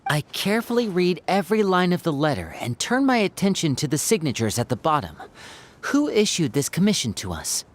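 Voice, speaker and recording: A woman's voice: fairly low pitch, big pitch swings, fairly quick. A woman playing an easygoing young wandering hunter with a few less-than-legal tricks, in video game voice acting. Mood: curious.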